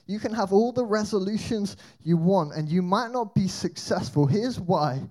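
A young man speaks in a mellow, somewhat high voice, chatting like he's telling friends a story. He slips in rhetorical questions as he gives his faith talk in a church hall. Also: animated, normal speed. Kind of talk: energetic youth talk.

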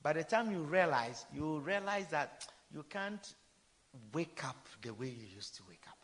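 A man in his fifties preaching with a high voice that leaps up and down in pitch. He repeats his points, peppers them with hallelujahs, and moves from loud proclamations to hushed asides. His voice rings with the long reverb of a big church hall. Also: big pitch swings, unhurried. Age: 50s. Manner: preaching with repeated refrains, frequent hallelujahs, swinging from loud to soft. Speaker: pastor. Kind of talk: sermon.

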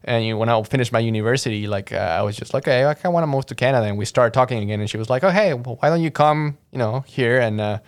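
A man's voice: mid-range voice, rapid-fire, few pauses, clean tone. A young man from Mexico, a guest in a casual interview, telling his story in a rambling way full of fillers and restarts. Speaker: guest, audio engineer.

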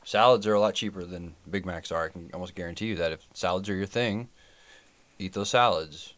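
A man's voice: low voice, fairly quick, fairly bright tone, no echo. A man co-hosting a podcast, a health professional explaining things in a plain, matter-of-fact way. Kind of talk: casual chat.

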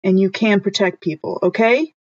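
Lady doing a protective earnest friend, informal and halting, dry kinda dull recording, tabletop rpg. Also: medium pitch, a little mellow, no room sound, lightly acted. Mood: encouraging. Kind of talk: improvised tabletop role-play.

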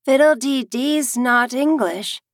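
A woman's voice: sits high, takes it slowly, no echo, studio quality, pitched above the performer's own voice. A woman voicing an earnest, decided little girl in a storybook reading. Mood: grave, then triumphant.

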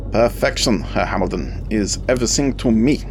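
A man's voice: fairly low pitch, normal speed, noisy background, voice pushed up, close to an everyday voice. A man voicing a commanding German car dealer of about forty, with a German accent and a curt, domineering drill-sergeant manner.

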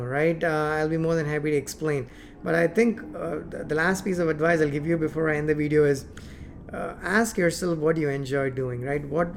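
A man with a Tamil-influenced English accent, a software engineer rambling casually to camera with many fillers and restarts. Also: on the high side, slight background noise.

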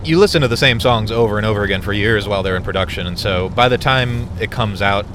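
Band guy with a lowish voice thinking out loud in a car, road noise. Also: fast. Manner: reflective, swears casually. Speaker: musician in a band. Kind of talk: casual conversation. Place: inside a car.

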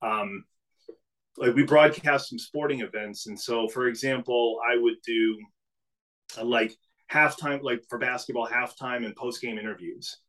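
Middle-aged New England man, relaxed guest with a rambling, easygoing delivery. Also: mid-range voice, measured pace, rough recording. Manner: relaxed storytelling with frequent restarts. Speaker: podcast guest, business CEO. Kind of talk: casual interview conversation.

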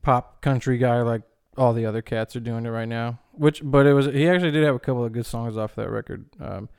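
An easygoing young man talking music on a podcast, with an ordinary mid-range voice. He teases his co-host in a relaxed, chatty way. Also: mid-range voice, a little fast, gravelly, smooth. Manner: easygoing, teasing. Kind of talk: casual chat.

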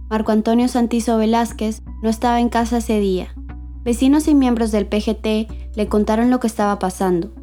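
A woman giving measured, scripted documentary narration as the host. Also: on the high side, mellow.

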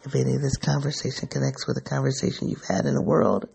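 Gravelly, raspy man musing sleepily. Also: medium pitch, level tone, fast, a little mellow. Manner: musing, rambling, self-searching. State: sleepy, voice going. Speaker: vlogger. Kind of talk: personal reflective monologue.

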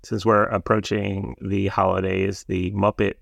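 A man co-hosts a podcast, talking haltingly and often pausing on uh. His low voice has a gravelly, fry-laden edge. The recording is close and completely dry. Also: little pitch movement, no echo. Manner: halting, restarts with ums and uhs. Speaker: podcast co-host. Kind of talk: casual chat.

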